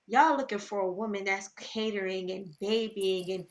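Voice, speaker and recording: A woman, co-hosting a podcast in lively casual chat. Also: medium pitch. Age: 20s. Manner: animated storytelling, quick asides, laughs often. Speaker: podcast co-host.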